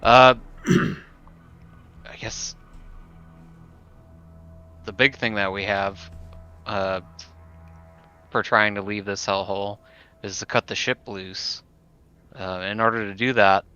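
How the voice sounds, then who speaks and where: Male tabletop player, lowish but sharp voice, hesitant casual delivery, muffled recording. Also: clear, resonant tone. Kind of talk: casual game-table talk. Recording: muffled lo-fi, background noise.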